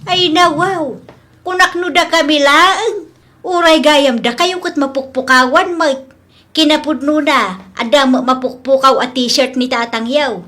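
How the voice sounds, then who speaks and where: A woman's voice: high-pitched, unhurried, sharp tone. A woman playing a fussy, exasperated village mother in an Ilocano comedy drama, with an Ilocano Filipino accent. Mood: surprised, indignant. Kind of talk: Ilocano comedy radio-style drama acting.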